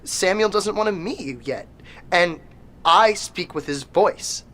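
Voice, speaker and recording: A cryptic, guarded follower of a cult in a horror audio drama. The voice is low, with deliberate, ominous phrasing. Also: female voice, lively intonation, a bit bright.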